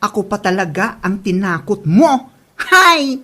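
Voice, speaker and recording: A woman's voice: mid-range voice, sing-song, measured pace. A woman playing a cheeky, bold village gossip in a comedy drama, with a teasing, exclamatory manner. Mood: teasing, startled. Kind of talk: Ilocano comedy drama acting.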